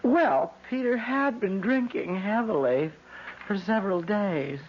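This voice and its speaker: A newly widowed wife in an old radio mystery, guarded and composed. Her mid-pitched voice comes through a dull broadcast recording. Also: expressive, a little slow. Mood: hesitant, guarded. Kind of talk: 1970s radio drama acting.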